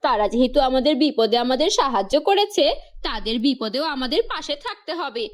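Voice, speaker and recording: A woman's voice: high voice, expressive, normal speed. A woman voicing a resolute villager in a cartoon fairy tale, earnest and rousing. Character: resolute, grateful villagers rallying for good.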